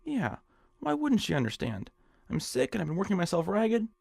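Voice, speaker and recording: A man's voice: high-pitched, huge pitch swings, normal speed, gravelly, a little mellow, dry recording, heavily acted. A man in an audio drama playing a timid, eager, put-upon clerk, hesitant and a little whiny. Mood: self-pitying.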